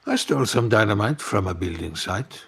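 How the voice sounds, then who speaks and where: Actor as a gravelly, embittered film producer. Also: male voice, lowish voice, expressive, moderate pace, heavily acted. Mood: bitter, self-justifying. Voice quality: gravelly, husky. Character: jealous, embittered male film producer confessing sabotage. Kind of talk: dramatic audio fiction confession.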